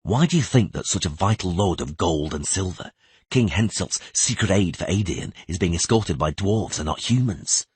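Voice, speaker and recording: Man acting guarded adult monster hunter, deep, raspy, stern but patient, fantasy audiobook. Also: lively intonation, moderate pace, darkish tone, no room sound, full character voice. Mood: grave explaining. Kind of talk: audiobook character voice.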